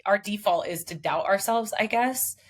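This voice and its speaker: A woman's voice: mid-range voice, normal speed. A woman guest in a podcast interview, speaking emphatically and casually about mindset, with an occasional light swear. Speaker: guest, business founder. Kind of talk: motivational interview talk.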